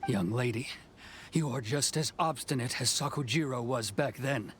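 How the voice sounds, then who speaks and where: A man's voice: mid-range voice, normal speed, a bit bright, slight background noise, lightly acted. Stern officer guy an actor doing him, formal, mid voice, game dialogue. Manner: formal, stern, then doubting. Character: stern middle-aged male commission officer. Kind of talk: video game voice acting.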